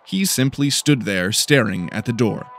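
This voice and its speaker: Man narrating dramatic fiction, low-key music behind. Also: expressive, unhurried, a bit bright. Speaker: audiobook narrator. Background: faint music.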